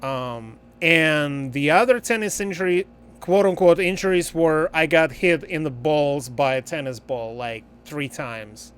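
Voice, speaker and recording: A man's voice: a little high, a little slow, a bit bright, clear, resonant tone. A man livestreaming a game and chatting casually, in a blunt, joking and profane way. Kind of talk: casual livestream chat while gaming.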